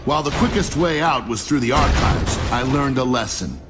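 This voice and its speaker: A man's voice: mid-range voice, expressive, normal speed, loud music behind the voice, lightly acted. A man playing an earnest, dry-witted young lieutenant in a video game, recounting battles and reporting. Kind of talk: video game narration and dialogue.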